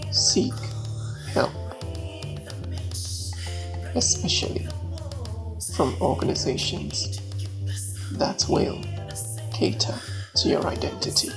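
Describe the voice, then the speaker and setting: A woman storyteller with a gravelly voice tells a folktale at a slow, deliberate pace. Her phrasing is emphatic and measured, with dramatic pauses, over a clear bed of music. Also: wildly swooping pitch. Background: ambient marimba, xylophone and low nature sounds.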